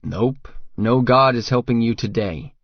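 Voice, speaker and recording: A man's voice: mid-range voice, unhurried, no room sound, lo-fi sound. A man voicing a tough, sardonic fighter who questions a captive in an audiobook, wry and commanding. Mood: menacing. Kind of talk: audiobook character dialogue.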